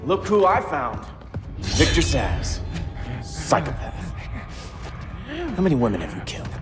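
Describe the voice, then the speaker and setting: Young male game assassin: scornful, defiant, high-pitched, very animated. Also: a little slow. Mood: sneering. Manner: sneering, hot-headed. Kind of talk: video game cutscene acting. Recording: loud music behind.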